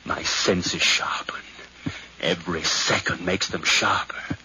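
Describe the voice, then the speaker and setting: An actor in a 1950s radio drama playing an anxious, murderous nephew, with a hushed, slow, trembling delivery. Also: male voice, low-pitched, steady tone, in a whisper. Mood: menacing, intense. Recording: noisy 1951 radio recording.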